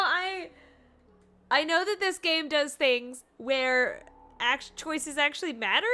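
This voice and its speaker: Bright, high-voiced woman streaming a game. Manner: musing aloud, reacting in short interjections. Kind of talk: casual live commentary over a game.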